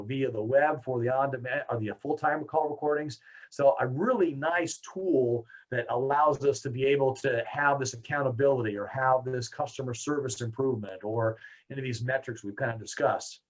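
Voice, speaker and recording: A man's voice: mid-range voice, low-quality recording. American man giving an informal product demo. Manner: conversational, explanatory. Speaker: software product presenter.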